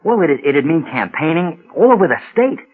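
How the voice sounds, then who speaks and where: An actor playing a polite, hesitant, earnest young small-town coach in a radio drama. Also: male voice, fairly high pitch, very animated, normal speed, narrow, tinny audio. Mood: flustered, hesitant. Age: young adult.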